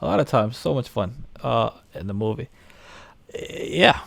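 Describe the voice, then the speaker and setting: A man's voice: medium pitch, expressive, normal speed, creaky, breathy edge, no echo. A man co-hosting a casual film review chat, joking and swearing freely. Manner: profane, joking. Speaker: co-host.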